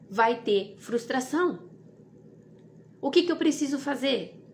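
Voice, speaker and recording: A woman's voice: sits high, a little hiss or hum. A woman with a Brazilian Portuguese accent giving an informal devotional sermon, exhorting earnestly and repeating phrases for emphasis. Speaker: devotional host and preacher.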